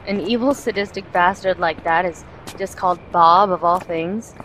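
A woman playing a composed, wry assassin, explaining things in a measured way in an audio drama. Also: fairly low pitch, a bit bright, slight background noise, natural voice, rough recording. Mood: wry, resolute.

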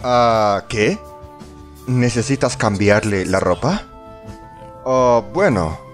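A man's voice: mid-range voice, animated, slow pace. A man narrating a scripted comedy parody in a sarcastic, exaggerated, exclamatory manner. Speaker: fandub narrator. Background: music.

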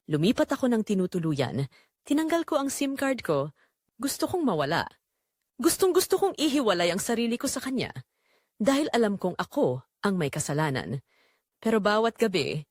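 A woman's voice: medium pitch, no echo, clean studio sound. A woman narrating a scripted first-person romantic drama in an emotive, confessional manner. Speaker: storyteller narrating in character.

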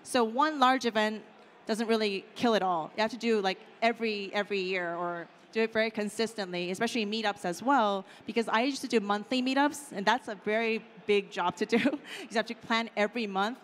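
A woman's voice: mid-range voice, brisk. A woman in her thirties giving a casual conference talk on stage into a handheld mic, chatty and full of "like" and "right?". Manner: chatty, lots of "like" and "right?" fillers. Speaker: conference speaker. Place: conference stage in a large hall. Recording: hall reverb with faint room noise.

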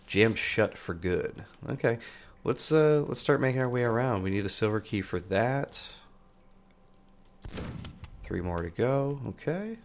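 A man in his thirties with a stuffy nose from a cold, hosting and talking through a game he plays, greeting lively at first and then musing quietly to himself, recorded on a studio mic in a room at home. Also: unhurried, pauses often, slight background noise. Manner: lively greeting, then low muttered thinking aloud while playing. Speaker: let's play host. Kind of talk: gameplay commentary. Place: home room with shelves of plush toys, indoors. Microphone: large studio mic on a boom arm.

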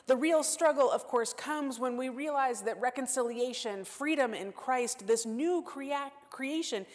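A woman in her forties giving a sermon as guest preacher, earnest and self-deprecating, moving between emphatic lines and hushed asides. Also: sits high, unhurried. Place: church sanctuary. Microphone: lapel mic. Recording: long church-hall reverb.

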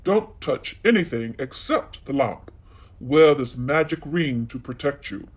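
A man's voice: mid-range voice, animated, unhurried, breathy edge. A man voicing a scheming, bullying sorcerer from a storybook, commanding and emphatic. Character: scheming, bullying adult male sorcerer posing as uncle.

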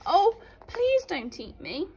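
A woman's voice: extremely high pitch, sing-song, slow, darkish tone, voice pushed up, full character voice. A woman voicing a little, skinny, timid young male billy goat in a storybook, pleading and trembling. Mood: frightened, pleading.

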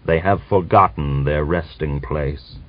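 A man voicing a pious, bitter old man of nearly a hundred, who scolds and preaches and reads scripture slowly and solemnly. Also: very deep voice, dry recording, faint music behind. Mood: solemn reading. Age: older. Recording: dull dry recording.

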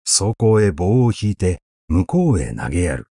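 A man reading literary prose as an audiobook narrator, in a measured, deliberate storytelling delivery. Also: low-pitched, sing-song, dark tone, dry recording, clean studio sound. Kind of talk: literary recitation, scripted narration.

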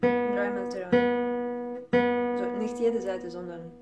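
Slow-talking young woman from Ukraine teaching guitar. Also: on the high side. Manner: slow, careful non-native speech with hesitations. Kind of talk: guitar technique lesson. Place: small room indoors. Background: classical guitar playing.

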